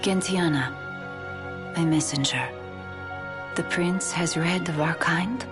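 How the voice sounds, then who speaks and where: Low, unhurried divine messenger. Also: female voice, over music. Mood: serene, knowing. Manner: measured, formal, solemn delivery. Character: serene, otherworldly divine female messenger of the gods. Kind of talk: dramatic video game voice acting.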